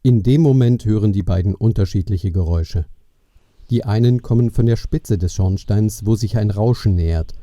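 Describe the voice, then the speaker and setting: A man's voice: fairly low pitch, normal speed, warm tone, no echo, studio quality. A man narrating a scripted children's story as the storyteller of an audio play.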